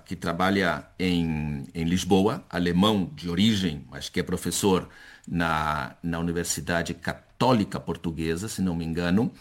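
An older man, an academic, answering in a conversational interview, halting and repeating words as he searches for them. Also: low voice. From Chile-born son of Brazilian exiles, later Spain. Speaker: academic, university professor, interview guest.

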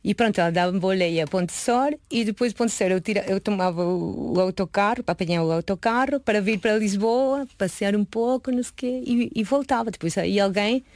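A woman in a close, dry recording. Her pitch sits in the middle for a woman. She tells her story in a chatty, informal way, voicing both sides of past conversations as an interview guest. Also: fairly quick, hardly any pauses, a little mellow, dry recording. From Mexico. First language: Spanish. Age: late 30s. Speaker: guest, pastry chef. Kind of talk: casual autobiographical storytelling in an interview.

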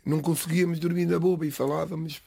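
A middle-aged man from the Lisbon area whose first language is Cape Verdean Creole, giving a halting, reflective personal account in an interview. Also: on the high side, little pitch movement, vocal fry, mellow, husky, dry recording. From Portugal, Lisbon area. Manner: halting, restarts phrases, pauses between words.

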